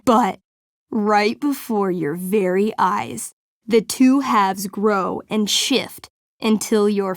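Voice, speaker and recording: Slow, theatrical young female game master. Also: mid-range voice, gravelly, no room sound, clean studio sound. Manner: theatrical, suspenseful, deliberate storytelling. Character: young woman game master narrating fantasy tabletop adventure, playfully dramatic. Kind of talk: dramatic tabletop role-playing narration.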